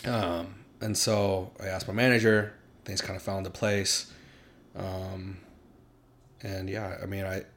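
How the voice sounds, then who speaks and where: A young man chatting casually as a podcast guest, relaxed and halting, with many fillers and restarts. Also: low voice, slight background noise. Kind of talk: casual chat.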